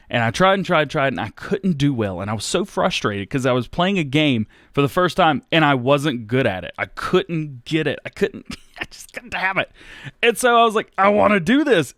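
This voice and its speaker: An American man in his thirties, hosting a casual Q&A on his gaming channel, reading out viewers' questions and answering in a relaxed, rambling way. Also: medium pitch, no echo, clean studio sound. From United States. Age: 30s. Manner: relaxed and rambling, with many fillers and restarts. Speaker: YouTube gaming host.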